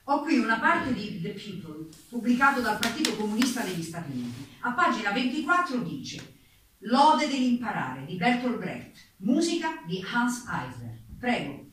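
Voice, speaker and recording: A woman's voice: a little high, big pitch swings, normal speed, fairly bright tone. A woman playing a male prosecuting investigator in radio theatre, persistent, formal and probing. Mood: pressing, accusatory. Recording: very echoey distant room, faint noise.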